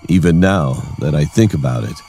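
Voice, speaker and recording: A man narrating a scripted first-person horror story in a measured, dramatic storytelling manner. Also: very deep voice, animated, warm tone, a little hiss or hum.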